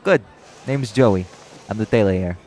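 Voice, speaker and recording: A man playing a brisk, businesslike nightclub tailor who talks directly and informally in an audio roleplay. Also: on the low side, darkish tone, some chatter behind, close to an everyday voice. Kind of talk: audio roleplay acting addressed to the listener.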